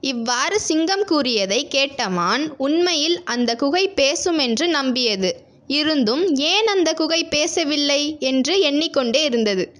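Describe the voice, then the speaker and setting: High-voiced woman telling a bedtime story. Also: lively intonation, slow, a bit bright. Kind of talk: scripted children's bedtime story narration.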